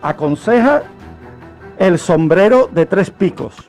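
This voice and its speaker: A man with a Spanish accent from Spain speaks in a high voice over faint music. He takes his time, explaining history like a teacher on the radio and putting questions that he then answers himself. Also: expressive, slow, a little mellow. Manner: didactic, poses questions and answers them. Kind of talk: unscripted radio history storytelling.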